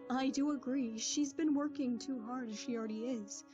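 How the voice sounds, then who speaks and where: A woman voicing a wise, gentle royal mare who speaks in a calm, motherly way. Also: high voice, moderate pace, close to an everyday voice. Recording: dull lo-fi audio with music.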